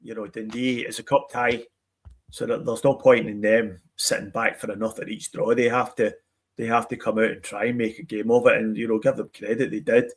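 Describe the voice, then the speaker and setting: A man on a football podcast, arguing his point in a measured way. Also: fairly low pitch, flat delivery. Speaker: podcast panelist. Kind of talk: casual football chat.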